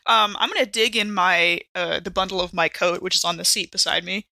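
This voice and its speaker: A woman's voice: on the low side, steady tone, a little fast, sharp tone, dry recording. A woman improvising casually as a player in a tabletop horror podcast, with a wry, sardonic manner.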